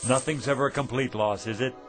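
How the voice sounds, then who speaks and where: A man acting in a radio drama as a weary, wistful middle-aged shopkeeper husband, halting and rueful in manner. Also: medium pitch, fairly even intonation, lightly acted. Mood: relieved, wry. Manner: halting, self-doubting, rueful. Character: weary, wistful failing antique shop husband.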